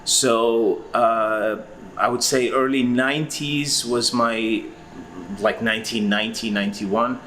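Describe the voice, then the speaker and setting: A man's voice: medium pitch, fairly even intonation, slow, a bit bright, slight background noise. A man in his forties from Iraq, a record-collector guest who talks through his story in a rambling, hesitant way with lots of fillers. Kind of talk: casual interview answers.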